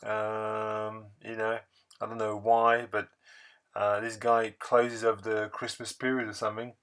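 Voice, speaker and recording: A man narrating a casual vlog voice-over in a halting, unscripted, rambling way. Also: fairly low pitch, completely flat delivery, fairly bright tone, clean tone. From France. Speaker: record-collecting video blogger.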